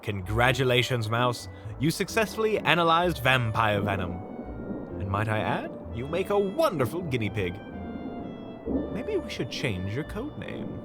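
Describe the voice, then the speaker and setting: A man's voice: medium pitch, wildly swooping pitch, a little slow, over music. A man acting as a wry, reassuring monster hunter who teases and explains things in an audio roleplay. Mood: teasing.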